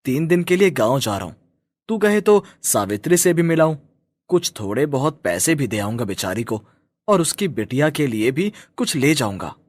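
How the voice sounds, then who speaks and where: Casting: kind-hearted family man played by a male actor, mid-pitched, insistent, highly expressive, moral storybook drama. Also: moderate pace, dry recording, studio quality. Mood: caring. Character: kind-hearted working husband, caring brotherly family friend.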